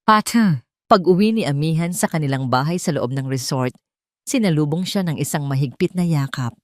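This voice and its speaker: A woman reading a romantic drama as a storyteller, in a measured and heartfelt way, close to the mic. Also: deep voice, lively intonation, dry recording. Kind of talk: scripted drama narration.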